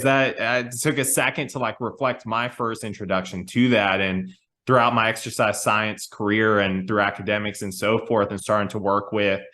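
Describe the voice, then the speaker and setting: A man's voice: mid-range voice, a bit bright. Casual, reflective male podcast host. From small town. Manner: rambling, reflective storytelling.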